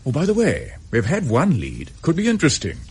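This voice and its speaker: Give the radio play a dutiful English chief inspector. His voice is husky and moves freely in pitch. He talks in a clipped, businesslike way, with a frustrated edge of duty. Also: huge pitch swings, fairly quick, a little mellow, heavily acted. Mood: explaining, thoughtful. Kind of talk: radio drama dialogue.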